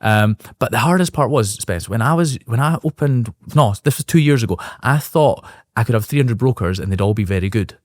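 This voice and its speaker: A man in his thirties, a business owner as the guest, talking quickly and upbeat in a casual interview, thinking aloud with restarts. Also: medium pitch, expressive, darkish tone, no room sound, studio quality. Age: 30s. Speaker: guest, real estate brokerage owner.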